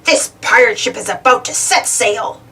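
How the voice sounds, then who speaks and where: A woman voicing a gruff, snarling, bossy boy pirate captain in a children's storybook, talking in pirate slang. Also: fairly high pitch, normal speed, sharp tone, far from the mic. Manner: pirate talk with "ye" and "arr".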